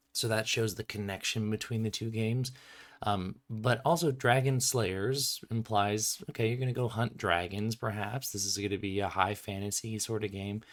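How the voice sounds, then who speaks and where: A man with a fairly low voice hosts an informal design commentary stream. He explains things in a chatty, unscripted way, like a creator walking through his own work. He sounds slightly sniffly, as if getting over a cold.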